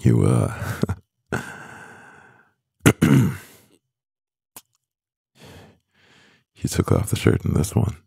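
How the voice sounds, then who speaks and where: A man playing a stressed, devoted CEO boyfriend in an intimate ASMR roleplay, murmuring with a husky, gravelly voice and pauses. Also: rumbling low, big pitch swings, warm tone, no echo, clean studio sound, heavily acted. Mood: amused, flustered.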